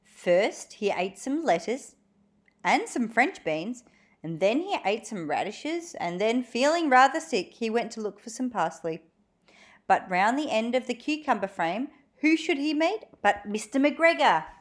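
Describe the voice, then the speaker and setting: A woman reading a storybook aloud for children in an expressive, animated way. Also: on the high side, measured pace. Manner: animated, acts out dramatic moments for young listeners.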